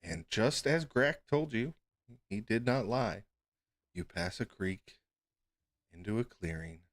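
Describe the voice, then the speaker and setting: A man's voice: fairly low pitch, expressive, slow pace, no echo, studio quality. A man acting as game master, casually narrating a tabletop game and talking with the players.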